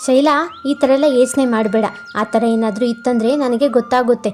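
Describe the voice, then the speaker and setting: A woman's voice: a little high, fairly even intonation, a little fast, darkish tone, faint music behind, close to an everyday voice. A woman voicing a touchy, easily swayed young husband in a cartoon moral story, with an emphatic manner. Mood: placating.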